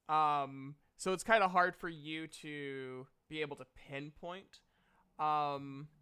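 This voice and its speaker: A man improvising narration as game master of a tabletop fantasy game, halting as he thinks aloud, with playful asides. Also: a little high, fairly bright tone, clear, resonant tone.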